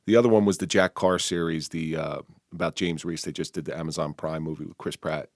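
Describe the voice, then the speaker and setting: A man's voice: very deep voice, steady tone, brisk, no echo, clean studio sound. A man hosting a podcast, chatting casually with a guest and throwing in friendly jokes. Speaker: podcast host.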